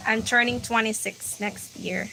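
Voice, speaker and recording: Woman in her twenties, Filipino accent, slow relaxed pace, chatty livestream host, steady hiss behind. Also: on the high side, vocal fry. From Philippines. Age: 20s. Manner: chatty, reads viewer questions aloud, frequent restarts. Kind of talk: casual live Q&A reading chat. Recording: noisy home livestream.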